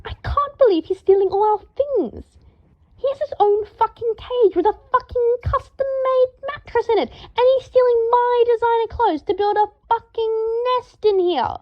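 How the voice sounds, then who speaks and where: A woman voicing a haughty young man who shouts and swears in fury, heard on a dull lo-fi recording. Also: extremely high pitch, normal speed, no room sound, voice pushed up. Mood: furious.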